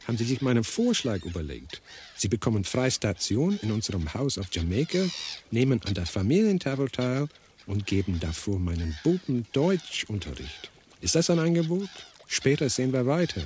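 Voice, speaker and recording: A man playing a polite, persuasive married gentleman in a radio drama. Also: medium pitch, big pitch swings, moderate pace, slight background noise, lightly acted. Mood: courteous, persuasive. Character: courteous married gentleman offering a governess post.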